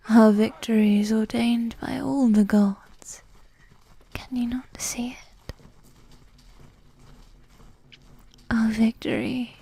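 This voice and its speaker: A woman playing a possessive, condescending evil warrior queen who dotes on her spouse, speaking in soft, breathy half-whispers in a medieval ASMR roleplay. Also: fairly high pitch, exaggerated voice. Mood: tender, solemn. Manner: slow, regal, formal phrasing with sighs.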